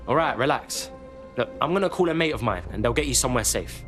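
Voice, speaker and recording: Guy voicing young london hacker lad in a game, slangy n matey, very animated pitch, bit of music behind. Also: fast, close to an everyday voice. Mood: brash, matey. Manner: slangy, informal, sweary. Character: streetwise young male London hacker, brash and friendly. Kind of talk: dramatic game cutscene acting.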